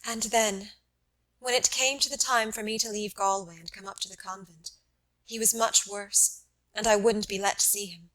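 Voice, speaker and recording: A woman's voice: mid-range voice, steady tone, fairly bright tone, natural voice, low-quality recording. Woman acting sad, grieving young wife, veiled voice. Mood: tender, wistful. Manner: sad, halting. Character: grieving young Irish wife recalling a lost love.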